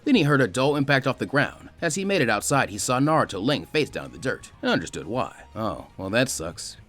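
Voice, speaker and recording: Male narrator for fan fiction, mid-pitched, brisk, expressive scripted read. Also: faint music behind.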